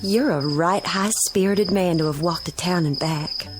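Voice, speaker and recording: A woman's voice: lowish voice, measured pace, background noise, natural voice. A woman playing a young, lovestruck country bride-to-be with an Appalachian accent in a radio drama. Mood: coy. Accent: Southern US Appalachian.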